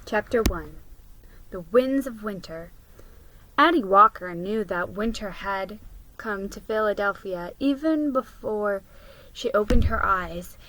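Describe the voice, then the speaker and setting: Woman reading a kids book out loud, takes it real slow and deliberate. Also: medium pitch, a little hiss or hum, rough recording. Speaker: vlogger reading books aloud. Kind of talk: dramatic reading of a children's book.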